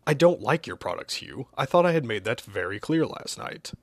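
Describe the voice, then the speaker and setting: A man's voice: medium pitch, sing-song, normal speed, no room sound, studio quality. A man voicing an exasperated, skeptical hero in a comic story, protesting bluntly and with disbelief to his nemesis. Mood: skeptical, grudging.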